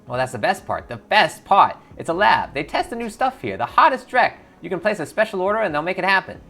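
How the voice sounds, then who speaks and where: A man's voice: sits high, sing-song, brisk, gravelly, sharp tone, soft background music, pitched above the performer's own voice, full character voice. A man voicing a twitchy orc drug dealer in a game, with repetitive, slangy sales patter. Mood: sly, excited.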